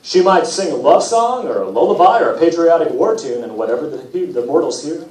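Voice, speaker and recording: A man's voice: on the high side, expressive, a little fast, darkish tone, lightly acted. Guy doing breezy vain greek god, slangy n bossy, voice all over the place, echoey far off recording. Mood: breezy, self-important. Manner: slangy, self-important, breezy. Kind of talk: storybook character read aloud. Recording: roomy, distant, noisy event recording.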